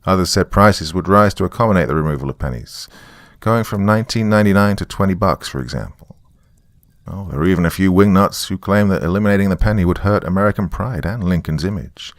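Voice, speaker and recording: Man playing a deep, gravelly, sardonic storyteller. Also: fast, no echo. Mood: wry, confiding. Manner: sardonic, dry, confiding. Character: wry, jaded divorced small-town man recording his account. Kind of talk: first-person horror story narration, conversational.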